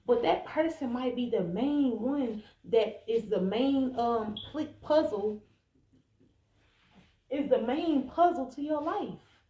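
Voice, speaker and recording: A woman's voice: on the high side, slow pace, mellow. An American woman of about thirty-one giving blunt, casual, emphatic relationship advice straight to the listener in an informal monologue. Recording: echoey room, faint background noise.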